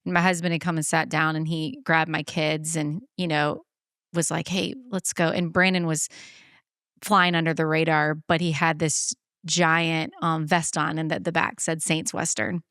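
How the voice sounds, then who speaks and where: A woman's voice: low voice, flat delivery, moderate pace, fairly bright tone, dry recording, clean studio sound. A woman hosting a podcast, chatting casually and telling stories in a chatty way, often quoting others. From born in Beaumont, Texas, lives in Charleston. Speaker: podcast host. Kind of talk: casual chat, storytelling.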